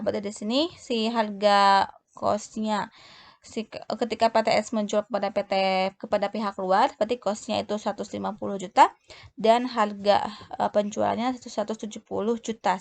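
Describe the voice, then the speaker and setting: Halting woman tutor, creaky voice. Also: mid-range voice. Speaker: accounting tutor. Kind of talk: explanatory tutorial narration.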